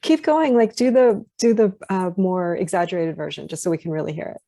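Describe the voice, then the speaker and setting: A woman with a West Coast US accent teaching phonetics on a video call, gently correcting details and encouraging students. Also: lowish voice, fairly quick, no echo. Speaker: phonetics instructor. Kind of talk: online class discussion.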